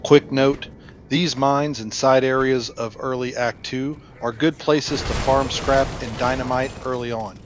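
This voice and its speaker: A man's voice: mid-range voice, fairly even intonation, measured pace, noisy background. A man giving casual, explanatory game walkthrough commentary, speaking directly to viewers. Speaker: gameplay video narrator.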